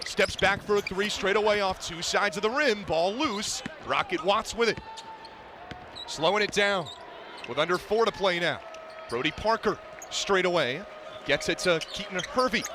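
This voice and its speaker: A man doing energetic radio play-by-play commentary in clipped, rapid-fire descriptions over live arena noise. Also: high voice. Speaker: play-by-play announcer. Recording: live arena broadcast with clear background noise.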